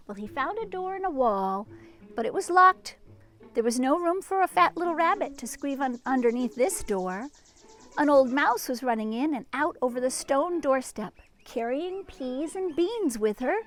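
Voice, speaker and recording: Animated high-voiced woman reading a children's story. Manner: animated, dramatic pauses, exclaims and comments to young listeners. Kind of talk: expressive read-aloud for young children. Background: music and sound effects.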